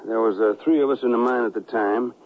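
An actor playing a gruff, stubborn western colonel in a radio drama, with husky, rough frontier speech that halts when he is troubled. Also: medium pitch, fairly even intonation, quick pace, close to an everyday voice. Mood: bitter. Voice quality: gravelly, husky. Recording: dull lo-fi radio recording.

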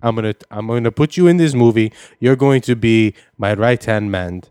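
A man's voice: mid-range voice, normal speed, dark tone, smooth, dry recording, studio quality, natural voice. A man playing a washed-up, self-deluded foreign actor with a foreign accent, pleading and self-important in an improvised comedy interview. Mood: proud, wounded. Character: washed-up, self-deluded male foreign actor nursing faded showbiz dreams. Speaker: comedy podcast guest.

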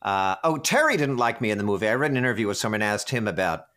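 A man with a loud voice, an actor being interviewed, recalling his work in a chatty, animated, extroverted way. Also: lowish voice, quick pace.

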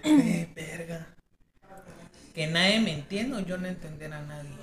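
A woman's voice: on the low side, lively intonation, normal speed, echoey room, over music. A woman with a Guatemalan accent chatting casually with friends, playful and freely sweary as they play a question game. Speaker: podcast participant playing a question game. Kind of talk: casual chat among friends.

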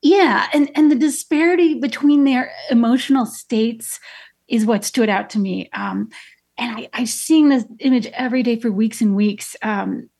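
A woman from Chicago with a slightly high voice tells a story as a guest on a radio interview. She speaks thoughtfully, with frequent ums and restarts. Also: moderate pace, darkish tone, slightly rough. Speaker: guest artist.

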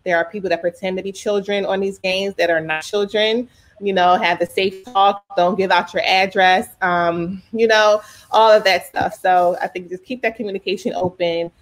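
A woman's voice: mid-range voice, fairly even intonation, moderate pace. A woman, a mother and parent advocate, answering interview questions in a frank, casual way, often saying "you know" and "um.". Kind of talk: conversational interview.

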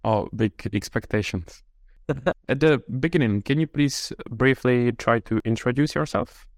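A man's voice: medium pitch, unhurried, no room sound, clean studio sound. A man hosting a podcast, reading a scripted introduction and then asking curious, friendly interview questions. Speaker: podcast host.

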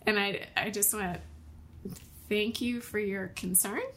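A woman preacher with a deep, loud voice, talking candidly in casual conversation and laughing often. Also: steady tone, unhurried, heavy background noise.

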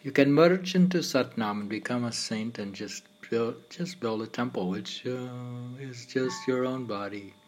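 A man reading his own poems aloud in a halting, meditative way, with informal asides. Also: mid-range voice, soft background music.

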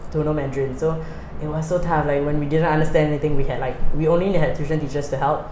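A woman's voice: low voice, steady tone, fast, dark tone, slight background noise. A young woman with a Singaporean English accent, chatting as an interview guest, laughing often and full of fillers. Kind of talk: casual interview chat. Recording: roomy with faint ambience.

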